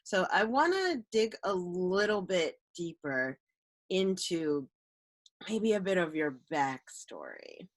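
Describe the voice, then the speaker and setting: Host: woman, slow and affirming, lowish voice with lively intonation. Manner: affirming, drawn-out questions with soft "mm" responses. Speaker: podcast host. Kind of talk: interviewing a guest.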